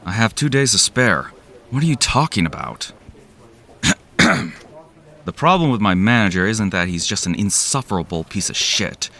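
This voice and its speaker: Low-voiced cynical office worker. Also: male voice, fairly bright tone, full character voice. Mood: sardonic. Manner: sardonic, profane, weary. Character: jaded young male office worker, cynical narrator. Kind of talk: first-person audio drama narration.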